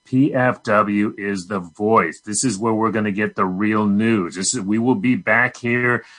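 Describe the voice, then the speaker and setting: A man with a lowish voice and a bright, forceful edge hosts a live radio talk show. He makes his pledge-drive appeal emphatically, speaking straight to the listeners. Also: fairly even intonation, a little slow.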